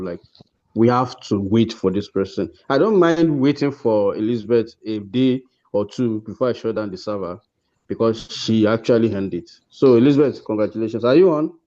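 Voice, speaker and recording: A Nigerian man presents awards in an informal online ceremony. His voice is soft-edged and relaxed, with an encouraging, congratulatory manner. The call audio sounds somewhat dull and lo-fi. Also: lowish voice. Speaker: host presenting course awards. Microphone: video call.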